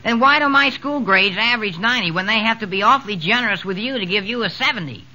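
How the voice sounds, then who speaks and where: A man's voice: high-pitched, fast, sharp tone. An actor playing a wisecracking, girl-shy teenage boy in a 1940s radio comedy. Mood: cocky. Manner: slangy, cocky wisecracks. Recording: dull vintage 1940s radio recording.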